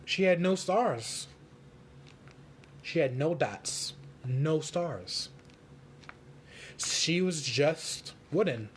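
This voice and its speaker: A man reading a storybook aloud for children in simple, deliberate phrases. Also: a little high, animated, a little hiss or hum.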